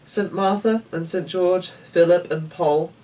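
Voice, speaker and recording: Man putting on a high-voiced plucky young girl. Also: steady tone, unhurried, mellow, distant mic, rough recording. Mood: brisk. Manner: high-pitched, spirited. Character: eager, plucky young girl, a knight's daughter.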